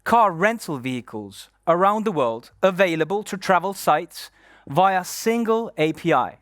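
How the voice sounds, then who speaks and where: A man's voice: high voice, lively intonation, measured pace, a bit bright, dry recording, studio quality. A man hosting a live conference session, introducing and interviewing a guest on stage in an upbeat, playful way. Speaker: stage host and moderator.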